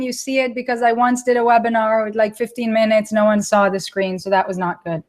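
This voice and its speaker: A middle-aged woman with an Israeli accent, hosting a live webinar and chatting casually with viewers, repeating words for emphasis. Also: sits high. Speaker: online course creator hosting a live webinar.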